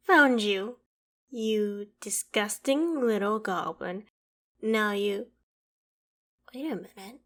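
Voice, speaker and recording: Girl voicing an old dragon lady, haughty and theatrical, really slow talking, asmr roleplay. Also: fairly high pitch, pushed and bright, full character voice. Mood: suspicious. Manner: theatrical, deliberate, imperious phrasing. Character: ancient female dragon, haughty, menacing, curious about humans. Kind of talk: ASMR roleplay, dramatic monologue to the listener.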